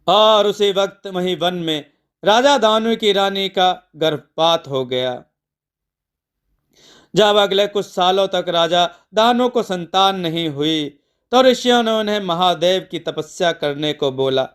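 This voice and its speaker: A man narrating a scripted devotional mythological story in a measured, deliberate storytelling way. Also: high voice, animated, a bit bright, clean tone.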